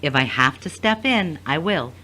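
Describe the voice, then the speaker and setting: A woman's voice: sits low, expressive, moderate pace, sharp tone. A woman playing a frank, watchful confidante in an audio drama, with a teasing, probing manner. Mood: teasing concern. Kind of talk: scripted audio drama acting.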